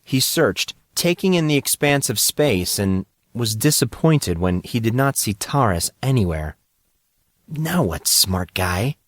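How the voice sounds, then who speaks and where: A man's voice: mid-range voice, big pitch swings, measured pace, hardly any pauses, no room sound. A man narrating a story as a scripted dramatic audiobook reading.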